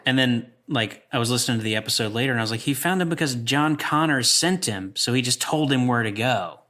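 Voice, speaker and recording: A man's voice: medium pitch, brisk, bright, forceful tone. A man co-hosting a movie podcast, telling stories in a slangy, conversational and casual way.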